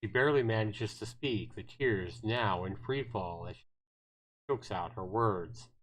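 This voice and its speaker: A man's voice: fairly low pitch, a little slow, a bit bright, rough recording. A man reading visual novel narration aloud on a livestream, telling it dramatically with the occasional stumble. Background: guitar music.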